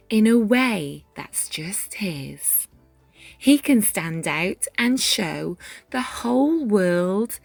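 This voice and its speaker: Very slow, expressive woman reading a storybook. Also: medium pitch, gravelly, dry recording. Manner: measured, dramatic pauses, drops into hushed whispers. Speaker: children's storybook reader. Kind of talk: expressive rhyming read-aloud narration. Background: background music.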